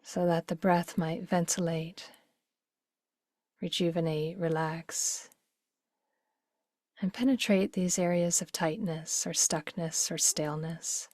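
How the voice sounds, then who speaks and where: Low-voiced woman guiding a meditation. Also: steady tone, no room sound, studio quality. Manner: gentle, unhurried, soothing delivery with soft breathy phrase endings. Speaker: meditation guide. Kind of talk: guided meditation.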